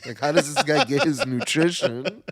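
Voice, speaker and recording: A man's voice: fairly high pitch, sing-song, normal speed, gravelly, breathy edge, dry recording, studio quality. A man co-hosting a podcast, talking casually with the others. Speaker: podcast co-host. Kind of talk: casual chat.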